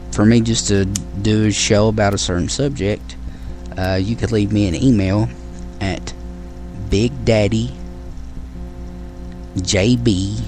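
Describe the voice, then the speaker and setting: A man's voice: on the low side, a little mellow. A man hosting his own show, talking casually to listeners in a rambling way with many fillers and restarts. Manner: friendly sign-off to listeners. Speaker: podcast host. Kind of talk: casual solo show talk.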